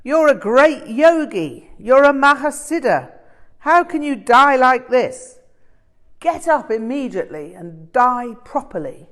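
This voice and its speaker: Woman voicing a furious yelling yogi master. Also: high voice, sing-song, takes it slowly, voice pushed up, heavily acted. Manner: forceful yelling. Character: furious, commanding male Tibetan yogi master.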